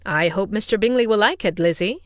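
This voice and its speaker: A woman voicing a dry, ironic older gentleman in a storybook reading, speaking in measured, teasing tones. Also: medium pitch, no echo, close to an everyday voice. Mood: wry.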